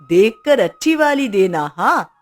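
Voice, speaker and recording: A woman's voice: mid-range voice, very animated, a little slow, faint music behind. A woman playing a kindly, thrifty middle-aged aunt in a cartoon story, with an affectionate manner.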